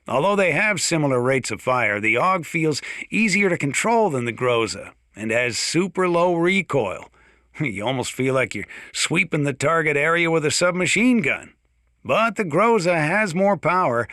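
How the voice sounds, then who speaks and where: A man's voice: fairly high pitch, big pitch swings, moderate pace, a bit bright, no room sound, clean studio sound. A man playing a folksy, gun-loving small-town sheriff in a video game, chatting boastfully in a conversational radio-style monologue. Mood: chatty, matter-of-fact.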